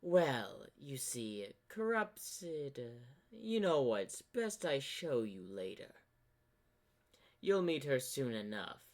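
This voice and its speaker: An ancient corrupted zebra spirit, acted out by a man for a fan cartoon, sly and manipulative. He drawls at a very unhurried pace, theatrical and teasing, as if bored by everyone. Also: medium pitch, sing-song. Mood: amused, musing. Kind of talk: fan-made fantasy cartoon voice acting.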